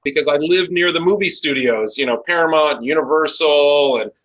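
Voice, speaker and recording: An American man in his forties speaks with a very bright, forceful voice pitched a little high for a man. He is a business owner being interviewed. He talks in a conversational, storytelling way. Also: moderate pace, rough recording. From United States (Chicago and Los Angeles). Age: 40s.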